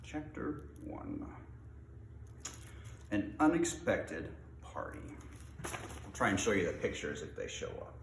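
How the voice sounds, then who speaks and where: Guy with a lowish voice doing a casual read aloud, roomy echo, bit of noise. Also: sing-song, slow, long pauses. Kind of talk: reading a storybook aloud with casual introduction. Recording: echoey room with faint noise.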